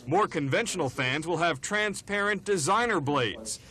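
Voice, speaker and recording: High-pitched American newsman, faint noise. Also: lively intonation, normal speed, sharp tone. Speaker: TV news reporter. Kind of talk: scripted news narration. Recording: archival TV audio with faint noise.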